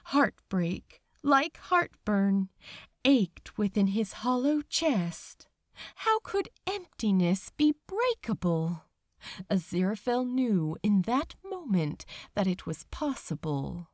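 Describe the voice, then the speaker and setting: A woman reading a story aloud as dramatic, measured, sorrowful narration. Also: medium pitch, very animated, no echo, clean studio sound. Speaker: fan fiction reader. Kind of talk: expressive scripted narration of a story.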